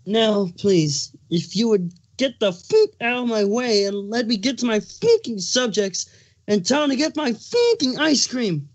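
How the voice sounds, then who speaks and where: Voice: medium pitch, sing-song, unhurried, rough recording. Pregnant male changeling king, acted by a fan reader: hormonal, exasperated, emphatic, foul-mouthed cartoon monarch. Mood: furious ranting. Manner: ranting, exasperated, emphatic swearing. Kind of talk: fan fiction dramatic reading, cartoon characters.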